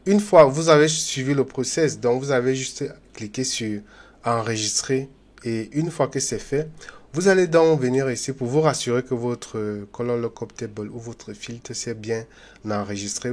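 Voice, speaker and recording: A man hosting a software tutorial, talking loosely and chattily with many fillers and restarts, and speaking straight to his viewers in a friendly way. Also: medium pitch, moderate pace. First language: not English. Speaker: YouTube tutorial host. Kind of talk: unscripted screen-recorded software tutorial.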